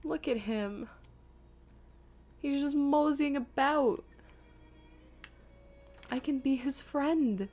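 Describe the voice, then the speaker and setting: A woman's voice: high-pitched, drawn-out words, long pauses, darkish tone, soft background music. A woman giving playful, chatty, casual commentary as she streams a game.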